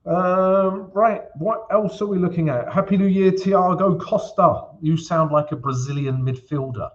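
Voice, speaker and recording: A man's voice: sits high, measured pace. A man hosting a live trading stream, chatty and casual, checking in with the audience as he walks through charts. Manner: chatty, peppers lines with "yeah?" and "guys". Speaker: forex livestream host. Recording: slightly dull livestream audio.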